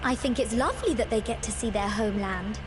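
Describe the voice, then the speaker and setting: A woman's voice: a little high, fast talker, natural voice. An actress playing a sweet, anxious young woman in a game cutscene, flustered and earnest. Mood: worried. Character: small, sweet, anxious young female friend left behind. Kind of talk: video game cutscene acting. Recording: music behind the voice.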